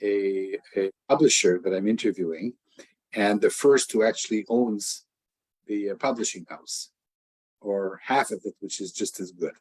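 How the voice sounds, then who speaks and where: A man's voice: fairly low pitch, measured pace, poor audio. An older man interviewing a guest in a casual, effusive way, stumbling through many restarts. English is his first language.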